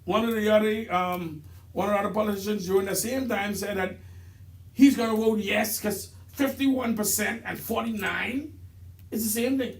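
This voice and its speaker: High, gravelly man, roomy sound. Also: recorded from a distance. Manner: halting, repeats words, grows quiet and serious. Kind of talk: casual podcast chat. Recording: roomy echo.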